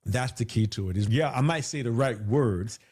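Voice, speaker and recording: A man's voice: medium pitch, normal speed, darkish tone, husky. Man, guest in an interview, big voice, emphatic, repeats key phrases. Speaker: guest, hypnotherapist. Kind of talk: conversational interview.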